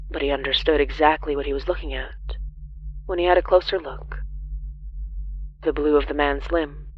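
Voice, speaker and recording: A narrator reading a scripted horror story in a grave, measured way, with deliberate dramatic pauses. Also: mid-range voice, dull audio.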